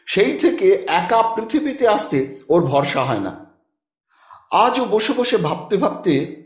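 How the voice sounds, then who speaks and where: A man narrating a literary audiobook expressively, with dramatic, deliberate phrasing and pauses. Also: sits high, echoey room.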